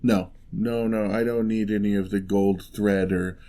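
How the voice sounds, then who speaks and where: A man playing a lonely, gentle male giant in a fantasy ASMR roleplay, speaking in a measured, wry, slightly formal way. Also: lowish voice, fairly even intonation, lightly acted. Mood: matter-of-fact, bargaining.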